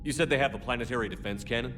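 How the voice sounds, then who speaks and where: An actor playing a blunt, firm military commander in a video game, challenging those around him. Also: male voice, medium pitch, racing, noisy background, close to an everyday voice. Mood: urgent.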